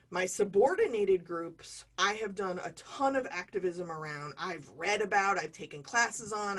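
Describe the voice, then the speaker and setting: An American woman in her forties leads an online training course, talking the way she would to a room of colleagues. Her voice sits at a middle pitch. She wanders into quick, self-mocking asides and jokes, then comes back to her point. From United States. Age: 40s. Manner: chatty, self-deprecating, jokey asides. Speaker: diversity trainer.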